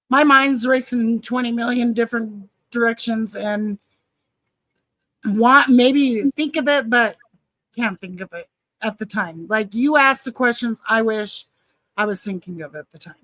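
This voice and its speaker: A woman's voice: fairly high pitch, unhurried, fairly bright tone, dry recording, rough recording. A woman hosting a casual livestream chat, playful and chatty, laughing often. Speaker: host.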